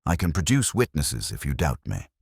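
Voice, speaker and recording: A man's voice: extremely low pitch, huge pitch swings, normal speed, breathy edge, dry recording, clean studio sound, full character voice. A man voicing a proud young politician in a mystery audiobook, formal, firm and defensive. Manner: courteous, formal, faintly irritated.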